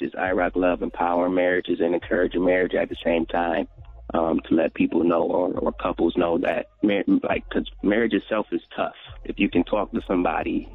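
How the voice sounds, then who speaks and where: Deep gravelly man on a phone line. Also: dry recording. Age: 30s. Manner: halting, many restarts and fillers. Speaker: guest. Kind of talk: casual interview.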